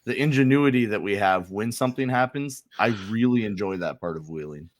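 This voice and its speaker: A man's voice: fairly low pitch, lively intonation, brisk, no room sound. An American man telling casual anecdotes as a podcast co-host, in informal, slangy language with some swearing.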